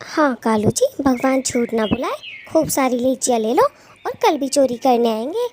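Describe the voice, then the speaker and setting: A woman's voice: sits high, lively intonation, moderate pace, noisy background. A woman voicing a gossipy, scheming female bird thief who dotes on her husband, in a dramatic, exclamatory children's cartoon manner. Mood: greedy, gleeful. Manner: dramatic, exclamatory, scolding and fawning. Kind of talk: Hindi children's cartoon dialogue.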